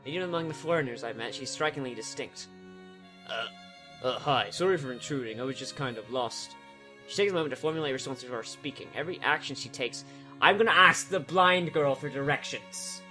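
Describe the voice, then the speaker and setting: A man's voice: medium pitch, a little fast, over music. A man reading a visual novel aloud, cutting in with sarcastic, sweary jokes. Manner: sarcastic, swears freely, breaks off to joke. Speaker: let's player.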